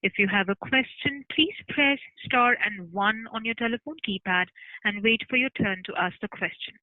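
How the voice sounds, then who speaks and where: A woman's voice: medium pitch, moderate pace, no room sound. A woman making formal, scripted announcements as a conference call operator. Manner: formal, procedural. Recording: dry narrowband telephone line.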